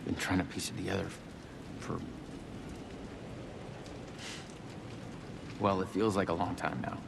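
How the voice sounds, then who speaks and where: Deep, gravelly weary sheriff. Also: male voice, little pitch movement, fairly quick, background noise. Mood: weary, confused. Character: weary, puzzled small-town sheriff lost in a nightmare. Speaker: game character actor. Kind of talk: naturalistic video game drama.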